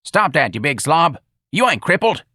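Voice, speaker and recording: A man doing a gruff, shouting hot dog boss. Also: a little high, big pitch swings, moderate pace, no room sound, clean studio sound, voice pushed up, heavily acted. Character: irate blunt male hot dog vendor boss. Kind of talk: audiobook dialogue.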